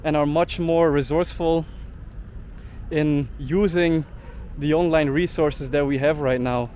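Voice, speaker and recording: A man's voice: fairly high pitch, fairly even intonation, measured pace, darkish tone, slight background noise. A young man in a ranting vlog monologue, indignant and peppering his talk with "like.". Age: young adult. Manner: indignant, heavy use of "like" fillers. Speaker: travel vlogger.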